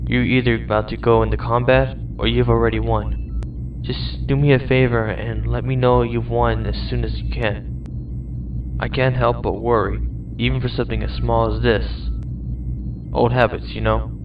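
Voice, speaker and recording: A man's voice: mid-range voice, flat delivery, moderate pace, close to an everyday voice. An actor playing a young male space cadet recording a worried message to his father, talking in a hesitant, wistful way. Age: young adult. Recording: distant, dull lo-fi recorded message with noise.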